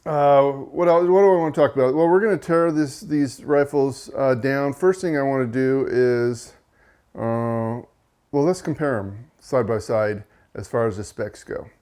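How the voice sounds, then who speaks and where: Monotone man reviewing rifles. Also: mid-range voice, unhurried, smooth. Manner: relaxed, with frequent fillers and self-corrections. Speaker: rifle reviewer and channel host. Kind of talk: casual product review.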